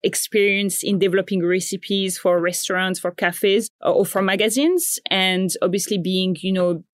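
A woman's voice: mid-range voice, steady tone, normal speed, no echo. Woman, 30s, raised in Morocco, easy mid-range voice, reflective and self-correcting, close and dry. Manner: thoughtful, frequent restarts and fillers. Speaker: founder and guest. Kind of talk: casual interview chat.

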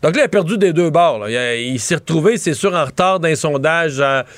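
A middle-aged man with a Québécois accent talks politics in a loose, rambling way, restarting his sentences as he goes. His voice sits a little high for a man, and the sound is close and dry. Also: lively intonation, brisk, no echo. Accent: Québécois French. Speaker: political commentator. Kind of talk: casual political analysis chat.

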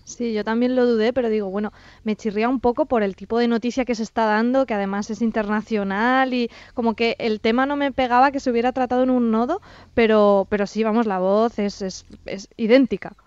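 Castilian woman on a panel, smooth clear voice, dry slightly muffled sound, casual. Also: fairly high pitch, fairly even intonation, fairly quick, darkish tone, no echo. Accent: Castilian Spanish. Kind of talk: casual discussion.

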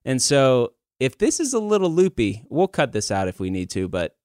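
A man's voice: medium pitch, sing-song, moderate pace, a little mellow, dry recording, clean studio sound. A man in his thirties hosting a casual podcast, talking in a rambling, candid, self-deprecating way. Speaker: podcast host. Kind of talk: casual conversational podcast talk.

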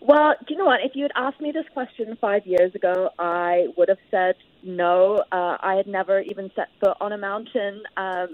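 A woman speaks as an interview guest in a reflective, detailed way, at a medium pitch. The sound is narrow and phone-like, with a dry, close feel and no room echo. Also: normal speed, hardly any pauses. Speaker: guest author. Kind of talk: conversational interview answers.

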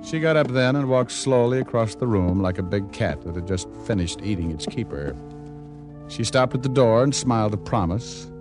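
A male actor as a hard-boiled private eye with a lowish voice. He tells things in dry, deadpan slang, like classic radio noir. Music plays clearly behind him on a vintage recording. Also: moderate pace, natural voice. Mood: deadpan narrating. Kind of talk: 1940s-50s radio noir acting and narration.

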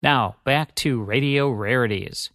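An American man co-hosting a radio show, reading a scripted promotion in a measured sales delivery. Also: mid-range voice, a bit bright, no echo, studio quality.

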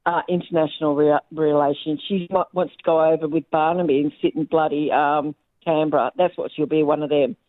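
A middle-aged Australian woman calling in, chatty and blunt, her voice a bit croaky. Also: sits low, fairly even intonation, brisk, hardly any pauses, no room sound. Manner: blunt and chatty, swears casually. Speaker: caller. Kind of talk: casual phone-in chat. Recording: thin phone line.

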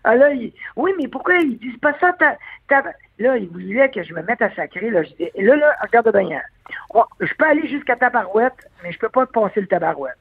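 A man's voice: very high voice, sing-song, a little fast, creaky, slightly rough, no room sound. A man with a Québécois French accent, chatting as an interview guest, hesitant with many fillers and restarts. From Quebec. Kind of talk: casual interview chat. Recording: dry tinny phone line.

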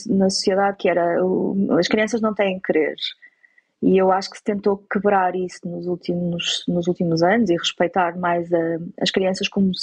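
A husky woman with a European Portuguese accent giving reflective answers as an interview guest. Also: mid-range voice, a little mellow. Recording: dull, lo-fi interview audio.

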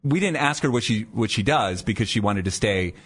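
A man's voice: lowish voice, expressive, fairly quick, a bit bright, breathy edge. A man hosting a morning radio talk show in a chatty, conversational way. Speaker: radio host. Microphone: studio mic.

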